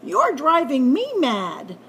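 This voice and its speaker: A woman's voice: high-pitched, huge pitch swings, takes it slowly, a bit bright, a little hiss or hum, pitched above the performer's own voice, full character voice. A woman voicing a long-suffering, grumpy but helpful mole in a children's storybook, speaking emphatically. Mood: fed up.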